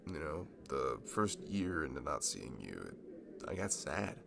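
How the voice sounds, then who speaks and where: Shy young nerd in love male actor, low and gravelly, hesitant and rambling, ASMR roleplay over audible background noise. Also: very animated, measured pace, exaggerated voice. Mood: tender, nervous. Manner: rambling, hesitant, stammering, nervous laughs. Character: shy, giddy, nerdy young man, a longtime friend in love.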